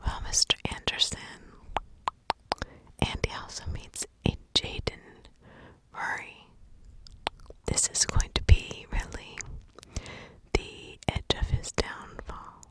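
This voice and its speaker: A woman speaks entirely in a whisper, in the style of ASMR storytelling. Her delivery is slow and hushed. She leaves deliberate gaps between short phrases as she narrates. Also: low-quality recording. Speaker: ASMR true crime storyteller.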